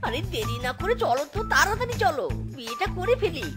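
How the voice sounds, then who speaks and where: A very high-pitched, boastful cartoon fox husband acted by a woman, lovestruck and quick to rage. He exclaims, pleads and scolds in melodramatic fashion. Loud children's music plays behind the voice. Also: very animated. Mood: wooing. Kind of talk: children's cartoon story dubbing.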